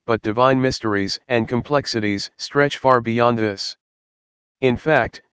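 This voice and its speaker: Man reading a Bible study, smooth and dry. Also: medium pitch, no room sound. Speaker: Bible teacher.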